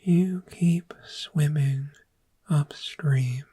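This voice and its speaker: A woman playing a calm, gentle dragon therapist in a soft-spoken ASMR roleplay, speaking in a breathy, soothing whisper with long pauses. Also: sits low, full character voice. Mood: soothing, hypnotic.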